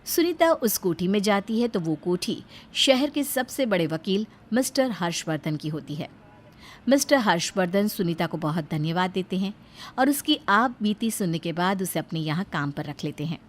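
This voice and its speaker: A woman's voice: fairly low pitch, animated, normal speed. A woman narrating a scripted moral story as a storyteller.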